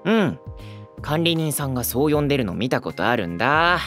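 Gentle, lively teenage boy idol. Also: medium pitch, fairly quick, soft background music. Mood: friendly. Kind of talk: Japanese anime-style audio drama acting.

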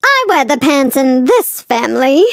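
A woman's voice: sits high, very animated, measured pace, vocal fry, dry recording, studio quality, full character voice. A woman voicing a prim, doting and haughty motherly alien flea queen for a video game, theatrical and sing-song as she scolds and coos. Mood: playful. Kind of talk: video game character voice lines.

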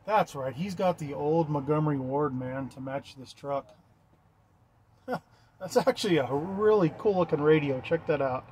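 An American man with a fairly high voice chats casually as he films a walk-around. His talk is slangy and enthusiastic. He is outdoors on a handheld mic, with clear noise behind him. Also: measured pace, darkish tone. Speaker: vlogger filming a CB radio meetup. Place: outdoors in a gravel parking lot. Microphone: handheld camera or phone mic.

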